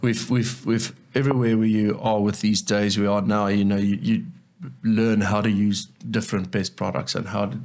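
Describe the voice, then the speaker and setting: A man's voice: lowish voice, flat delivery, moderate pace, darkish tone. A South African man whose first language is Afrikaans, speaking earnestly as a guest in a casual interview and often repeating words as he thinks. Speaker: guest, agronomist.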